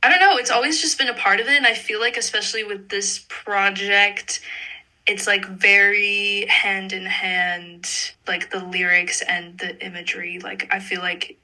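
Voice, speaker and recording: A woman's voice: medium pitch, steady tone, moderate pace, bright, forceful tone, low-quality recording. A young woman, a singer being interviewed, chatting informally and peppering her talk with "like". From Montreal, Canada. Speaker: singer-songwriter interview guest. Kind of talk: casual interview chat.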